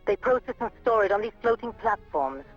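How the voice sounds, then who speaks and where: Earnest, high-voiced young pilot. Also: male voice, lively intonation, fairly quick. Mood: matter-of-fact briefing. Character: young male defector pilot, earnest and defiant. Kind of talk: video game cutscene acting. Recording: muffled lo-fi game audio, music behind.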